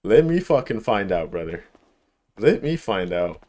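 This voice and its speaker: A man's voice: fairly low pitch, big pitch swings, a little slow, a little mellow. A man livestreaming a game and chatting casually, with slangy, profane, emphatic speech and a habit of repeating himself. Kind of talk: casual chat while gaming.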